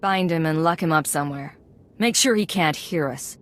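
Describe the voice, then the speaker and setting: A woman's voice: low-pitched, moderate pace, natural voice. Woman playing a cool, commanding secret agent. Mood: stern. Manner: terse, controlled, authoritative.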